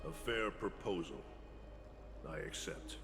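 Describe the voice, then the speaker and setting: A very low voice echoes in a long reverb over music. a grave, brooding retainer in a fantasy game, formal and measured in every phrase, with a solemn gravelly tone. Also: male voice, lively intonation, full character voice. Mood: resigned. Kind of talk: dramatic video game acting.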